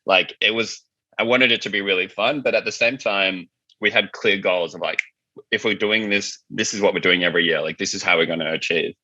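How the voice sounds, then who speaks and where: A man's voice: sits low, steady tone, a little fast, lo-fi sound, noisy background. A man talking casually and informally as a guest in an interview, often saying "like" and "you know". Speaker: company founder being interviewed.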